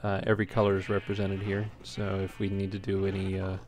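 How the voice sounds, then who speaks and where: A man's voice: deep voice, monotone, a little fast, a little mellow. A man giving casual, unscripted gameplay commentary as a let's play host, talking in an easygoing, hesitant ramble. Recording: clearly noisy home recording.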